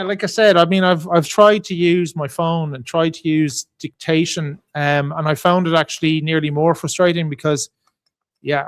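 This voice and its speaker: A man, a writer on a podcast panel, talking earnestly and self-deprecatingly in casual chat about how he writes. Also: on the high side.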